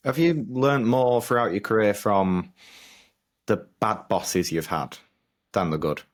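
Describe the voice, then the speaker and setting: A man's voice: on the low side, measured pace. A man hosting a podcast, asking friendly questions with some hesitant restarts. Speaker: podcast host, interviewer.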